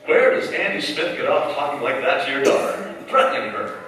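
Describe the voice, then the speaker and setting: Dramatic radio narrator, a needling inner conscience, played by a man: bright, forceful tone, big echoing hall. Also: mid-range voice, a little fast, lightly acted. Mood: probing, dramatic. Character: probing second-person narrator, an inner conscience. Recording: echoey hall, far mic, faint noise.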